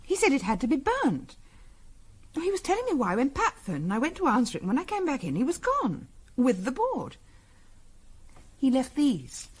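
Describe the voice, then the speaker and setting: A woman's voice: high-pitched, huge pitch swings, normal speed. An actress playing an anxious English middle-class wife in a 1970s radio drama, earnest and hesitant as she defends her friend. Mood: worried, recounting.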